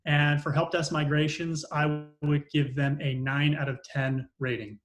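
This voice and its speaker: Guy with a slightly higher voice doing a customer testimonial off the cuff, stops and starts mid sentence a lot. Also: little pitch movement. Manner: hesitant, pausing often mid-sentence. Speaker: customer success operations manager giving a testimonial.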